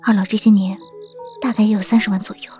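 A woman playing a gentle, caring young wife in a Chinese period audio drama. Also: mid-range voice, little pitch movement, fast, close to an everyday voice. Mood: worried. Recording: music behind the voice.

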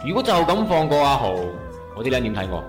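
A man's voice: medium pitch, big pitch swings, fast, lightly acted. Man acting stern, echoey triad boss. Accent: Hong Kong Cantonese. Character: stern, old-fashioned triad big brother, protective of sister. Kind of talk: internet radio drama acting. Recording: echoey, music behind.

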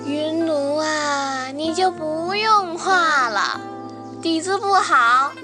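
Historical radio play: actress as sneering maid, very high pitch, drawn-out disdain. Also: female voice. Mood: scornful. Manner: mocking, disdainful. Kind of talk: Chinese period audio drama acting. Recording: clear zither-like music underneath.

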